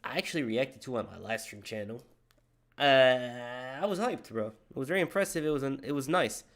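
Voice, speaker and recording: A man's voice: mid-range voice, brisk. A man streaming live, reacting to a wrestling video and reading out donations in loose, slangy chatter. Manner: loose slangy chatter, says bro a lot.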